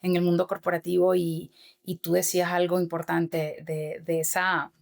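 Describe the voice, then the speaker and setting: Middle-aged female guest, lowish voice, earnest and reflective, peppers her talk with fillers. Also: flat delivery, normal speed, gravelly. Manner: earnest, many fillers and tag questions. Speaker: podcast guest, author and former corporate manager. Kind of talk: reflective interview answers.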